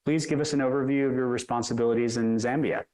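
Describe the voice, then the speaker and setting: A man's voice: mid-range voice, fairly even intonation, fast, darkish tone. A man moderating a panel. He speaks politely and reads out prepared questions over a video call. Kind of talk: formal moderating.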